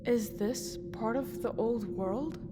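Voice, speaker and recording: Very slow-spoken, restless young woman played by an actress. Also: on the high side. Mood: awed. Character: restless, rebellious young woman in dystopian settlement. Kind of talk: audio drama acting. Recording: loud ambient noise behind voice.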